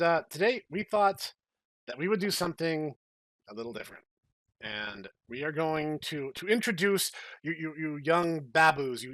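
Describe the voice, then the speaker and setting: A man's voice: mid-range voice, a bit bright, no room sound. Mock-grandiose man hosting a podcast. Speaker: podcast host. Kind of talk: podcast banter.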